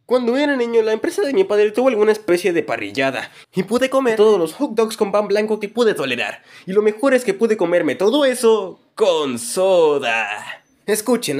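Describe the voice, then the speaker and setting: A man narrating a comedic animated story in an expressive, exaggerated way. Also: sits high. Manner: expressive, exaggerated comic delivery. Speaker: narrator of a fan-dubbed animated story video.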